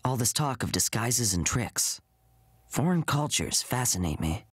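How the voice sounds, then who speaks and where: A man voicing a calm, curious game adventurer with a formal, composed manner. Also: medium pitch, a little slow, slightly rough, dry recording, clean studio sound. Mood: composed, intrigued.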